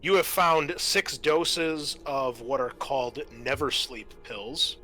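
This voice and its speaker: A man with a bright, forward voice runs a tabletop roleplaying session as game master. He talks casually to his players, with music playing underneath. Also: mid-range voice, slow, low-quality recording.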